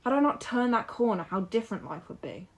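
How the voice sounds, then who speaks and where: A woman's voice: on the high side, very animated, brisk. A woman acting in an audio drama as a restless, hopeful young woman who chats in a musing, playful way. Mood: restless, discontented.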